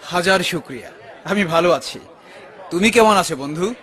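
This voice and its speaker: A man voicing a humble, pious poor man in an animated moral story, speaking earnestly like a moral adviser. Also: sits high, moderate pace, some chatter behind. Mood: grateful, friendly. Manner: earnest, admonishing.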